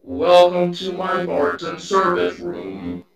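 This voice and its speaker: A man playing a sinister animatronic cat in a horror game, high and creaky. The menacing words come very slowly, drenched in echo. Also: completely flat delivery, full character voice. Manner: slow, menacing. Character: sinister withered animatronic cat threatening death. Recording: heavy echo, faint music behind.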